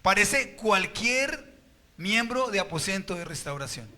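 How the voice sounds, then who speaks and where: A man's voice: high voice, big pitch swings, unhurried, bright, forceful tone. A Colombian man preaching a sermon as pastor at a church service, firing rhetorical questions at the congregation.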